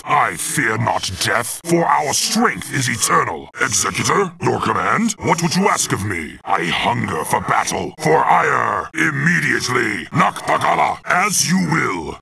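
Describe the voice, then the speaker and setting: An actor playing a proud, zealous alien warrior, speaking solemnly and formally in game unit lines. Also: male voice, mid-range voice, sing-song, unhurried, gravelly, hardly any pauses, background noise, full character voice.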